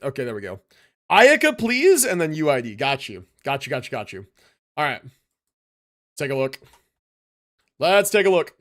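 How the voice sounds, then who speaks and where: A man hosting a livestream, casually reviewing game builds in relaxed, slangy talk as he thinks aloud. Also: high voice. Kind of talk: casual gaming livestream commentary.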